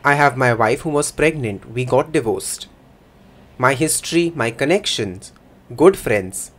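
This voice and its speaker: A man speaking a first-person voice-over interpretation at a middle pitch. His delivery is plain, even and measured, and he tells the account in short statements.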